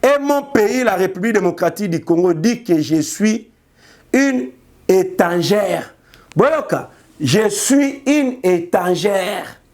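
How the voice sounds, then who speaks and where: A mocking sketch of a refugee woman claiming she is a foreigner, as a male commentator mimics her. The words are stretched out slowly and sarcastically. The voice sits a little high. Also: animated, a little mellow.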